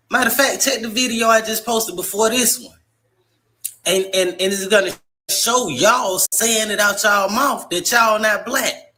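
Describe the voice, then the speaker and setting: Bright-voiced man in a heated rant. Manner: mocking, heated, voice rises high when worked up. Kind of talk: opinion commentary.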